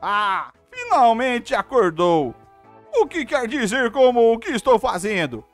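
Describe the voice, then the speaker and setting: A Brazilian woman hosts casual gameplay, reading dialogue aloud. Her voice sits a little high and is hugely animated in pitch, with an informal, expressive delivery. Faint music runs behind her. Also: measured pace. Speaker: gameplay video host.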